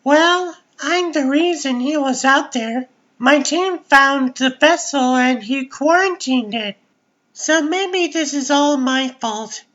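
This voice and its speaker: A woman plays a bold young daughter in a dramatic comic dub. Her voice is high and unhurried, with a persistent, questioning manner. The recording is slightly muffled. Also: fairly bright tone.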